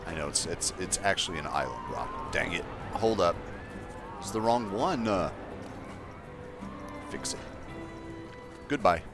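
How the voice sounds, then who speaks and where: A man with a deep voice casually chatting to his viewers as he builds in a game livestream, thinking aloud in an easygoing way. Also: expressive, a little slow, long pauses, over music. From Colorado, USA. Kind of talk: casual gameplay livestream chat.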